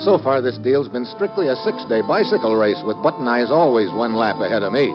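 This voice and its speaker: An actor playing a wisecracking, suspicious American government agent in a vintage radio detective drama, slangy and sardonic. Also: male voice, medium pitch, animated, brisk, lightly acted. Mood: wry narrating. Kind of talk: 1950s radio detective drama, first-person narration and dialogue. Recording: dull vintage radio recording, music behind.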